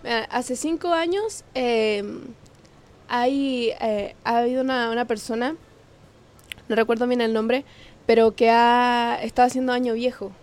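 Young Ecuadorian-born woman, interview guest; slightly high voice, halting, filler-heavy delivery. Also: steady tone, darkish tone. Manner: hesitant, many fillers, laughs easily. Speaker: guest, singer. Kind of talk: casual interview.

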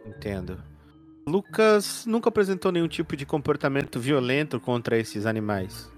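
A man voicing a polite, probing male detective in a tabletop game, formal and deliberate. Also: mid-range voice, lively intonation, faint music behind, natural voice. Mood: measured.

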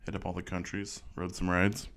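Male co-host, 30s, lowish voice; jokey, upbeat, casual movie chat. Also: monotone, a little fast, a bit bright. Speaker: podcast co-host.

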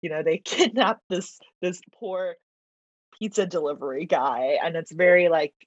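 A woman whose first language is Mandarin, chatting as a podcast guest, talkative and quick to laugh, with lots of fillers. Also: lowish voice, no room sound. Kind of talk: casual interview chat. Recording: dull lo-fi dry recording.

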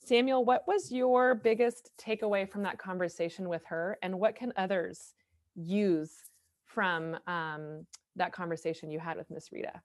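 Low-pitched woman hosting a podcast. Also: unhurried. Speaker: podcast host. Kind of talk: conversational interview.

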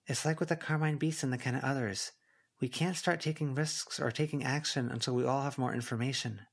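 A man voicing an earnest, careful teenage girl with a gently firm way of talking in an audiobook. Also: medium pitch, fairly even intonation, brisk, vocal fry, voice pushed up. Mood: careful, concerned.

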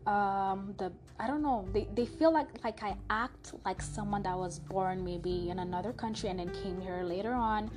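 A young woman whose first language is French tells a personal story in a loose, chatty way, full of fillers and restarts. She speaks with a light French accent at a middle pitch. Music plays clearly underneath her. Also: normal speed, hardly any pauses. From Canada (Montreal). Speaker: podcast host.

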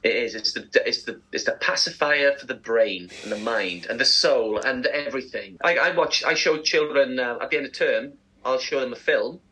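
A man's voice: medium pitch, normal speed, hardly any pauses, breathy edge, low-quality recording. A man in his forties co-hosting a casual breakfast radio show, ranting and laughing as he talks. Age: 40s. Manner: ranting, animated, laughs often. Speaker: radio co-host.

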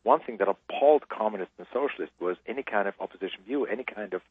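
A man's voice: on the low side, expressive, quick pace, breathy edge, no room sound. A man from Austria, a guest speaking emphatically on a radio interview over the phone. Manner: emphatic, preaching conviction. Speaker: guest author. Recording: tinny phone line.

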